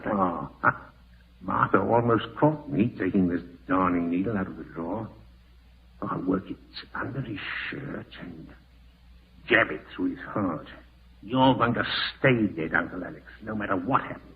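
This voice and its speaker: A man's voice: low voice, normal speed, gravelly, slight background noise. An actor playing a greedy, panicky, murderous man with frantic outbursts and menacing muttering, in old radio horror style. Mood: sinister. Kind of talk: 1940s radio horror acting. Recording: tinny band-limited old radio broadcast.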